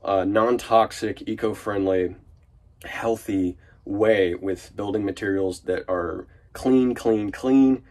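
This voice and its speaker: A man's voice: lowish voice, steady tone, slow pace, a little mellow. A man with a nasal voice from a cold, rambling casually through a vlog update from inside an unfinished van. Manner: rambling and chatty, lots of fillers and restarts. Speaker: vlogger.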